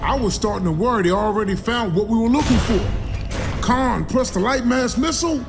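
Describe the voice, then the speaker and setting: An actor playing a tough young soldier with a dry storytelling manner in a video game cutscene. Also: male voice, extremely high pitch, a little fast, vocal fry, over music. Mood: uneasy.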